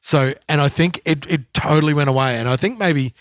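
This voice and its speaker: A man's voice: a little high, a little fast, dry recording, studio quality. A man co-hosting a podcast, talking casually and sharing personal anecdotes. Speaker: podcast co-host.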